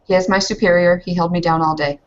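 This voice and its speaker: A woman's voice: on the low side, fairly even intonation, fast talker, highs cut off. A woman improvising casually as a player in a tabletop roleplaying game, a bit tentative in how she talks.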